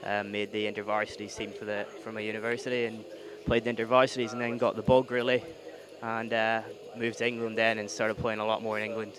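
A man's voice: lowish voice, completely flat delivery, quick pace, hardly any pauses, crowd noise. A man answering interview questions into a handheld mic in a pool hall, hesitant with many fillers. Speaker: pool player.

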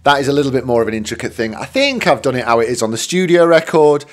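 Chatty middle-aged guitar teacher. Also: male voice, mid-range voice, sing-song, fast talker. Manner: chatty, self-deprecating, rambling with fillers. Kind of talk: casual spoken tutorial. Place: small home studio, indoors. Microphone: studio condenser mic in front.

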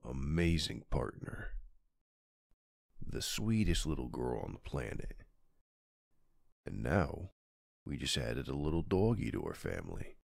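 A man in an ASMR roleplay playing a doting mafia boss husband and gentle father, speaking tenderly and soothingly with soft pauses. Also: a bass voice, vocal fry, dry recording, clean studio sound, full character voice. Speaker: roleplay audio performer.

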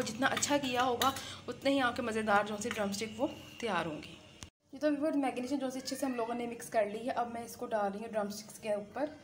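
A woman's voice: on the high side, noisy background. A woman hosting a casual home cooking tutorial, chatting as she works and saying a blessing before each step. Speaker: cooking channel host. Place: home kitchen.

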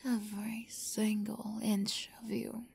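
A woman playing an obsessive young yandere captor in an ASMR roleplay, close to the mic, moving between soft whispers and giddy, high outbursts. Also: little pitch movement, slow, creaky, slight background noise. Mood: teasing, intimate.

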